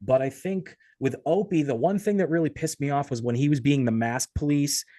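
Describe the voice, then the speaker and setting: A man's voice: on the high side, fairly quick, mellow, no echo, clean studio sound. An American man hosting a podcast, asking questions and chatting casually in a conversational interview.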